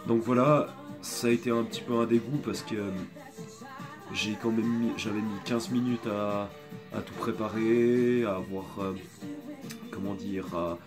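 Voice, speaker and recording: A man's voice: on the low side, steady tone. Sick, hesitant man over background music. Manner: hesitant, rambling, many pauses. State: sick with a cold and sore throat. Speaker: video creator. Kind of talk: casual apologetic vlog. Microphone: phone.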